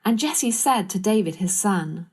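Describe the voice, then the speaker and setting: A woman's voice: medium pitch, expressive, a little slow, darkish tone, slightly rough. A woman reading scripted narration of a Bible story.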